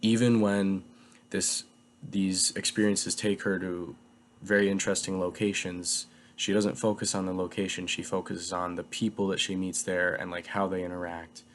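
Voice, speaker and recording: A young man talks through a book review in a low, steady, even-toned voice. He is getting over a cold and rambles, pausing often on filler words. Faint noise hums behind him.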